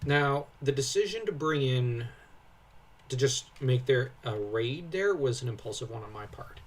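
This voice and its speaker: A young man with a somewhat husky voice speaks at middle pitch, a little away from the microphone. He chats casually as a co-host, enthusiastic and prone to wandering off on tangents. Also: expressive, moderate pace, distant mic. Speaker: co-host commentator. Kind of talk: casual lore breakdown commentary.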